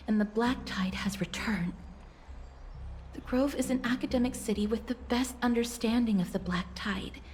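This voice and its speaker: High-pitched, courteous young noblewoman played by an actress. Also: close to an everyday voice. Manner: polite, formal, soft-spoken. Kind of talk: video game voice acting.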